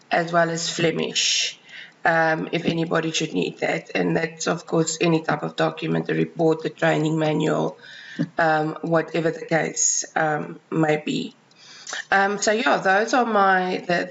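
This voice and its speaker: A South African woman, a native Afrikaans speaker, calmly describing her freelance writing and editing work, with frequent ums and restarts. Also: lowish voice, gravelly, muffled recording. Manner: measured, many ums and restarts. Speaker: freelance writer and editor. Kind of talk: self-introduction to clients.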